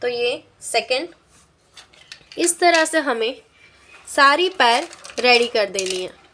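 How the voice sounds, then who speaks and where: Woman, high voice, science teacher, step-by-step demonstration, instructional, repeats instructions, slight background noise. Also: lively intonation, slow, pushed and bright. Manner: instructional, repeats steps for students. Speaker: biology teacher. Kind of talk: practical demonstration lesson.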